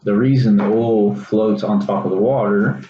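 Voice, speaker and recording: A young man with a Texas accent and a lowish, soft-edged voice talks through an informal science demonstration. He explains in a casual, slightly hesitant student way. The recording is dull and echoes around the room. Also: fairly even intonation, moderate pace. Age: young adult. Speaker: student presenter. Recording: roomy echo, dull sound, faint noise.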